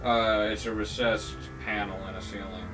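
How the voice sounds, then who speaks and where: A man's voice: fairly low pitch, flat delivery, normal speed, recorded from a distance, over music. A man giving jokey, rambling let's-play commentary on a game, with mock-dramatic outbursts. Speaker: gaming video host.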